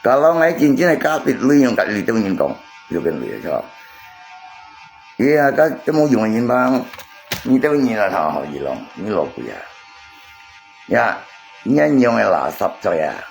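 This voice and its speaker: A man's voice: lowish voice, big pitch swings, unhurried, vocal fry, long pauses, soft background music. A man chatting casually on a livestream, repeating himself and arguing his point to viewers. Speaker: live streamer.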